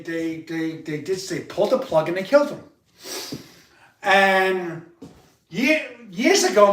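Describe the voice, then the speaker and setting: A man with a loud voice hosting a livestream, telling a rambling personal story with halting restarts and repeated words. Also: sits high. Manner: repetitive, halting, many restarts. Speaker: livestream host. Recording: roomy sound, far from the mic.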